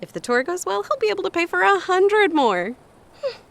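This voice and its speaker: An actress playing a brisk, matter-of-fact woman resort staffer with dry humour in an audio drama. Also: very high voice, sing-song, gravelly, a bit bright, exaggerated voice. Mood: wry, upbeat.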